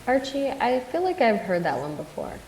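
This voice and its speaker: A woman's voice: fairly high pitch, very animated, normal speed, darkish tone. A young woman acting as a snarky friend trading banter in an audio drama, in a roomy, slightly hissy recording. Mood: teasing, snarky. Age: young adult. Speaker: student audio drama actor. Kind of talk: casual acted banter. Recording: echoey room, faint sizzling hiss.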